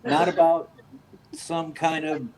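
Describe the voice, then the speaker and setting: A man's voice: on the high side, flat delivery, takes it slowly, a little mellow, poor audio. A man chatting casually as a panelist on a sports show. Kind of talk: casual chat.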